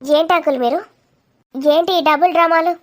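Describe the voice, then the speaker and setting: A woman's voice: extremely high pitch, steady tone, a little fast. A woman voicing a feisty, sarcastic young woman in a confrontational cartoon comedy. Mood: indignant. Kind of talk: dramatic cartoon comedy dialogue.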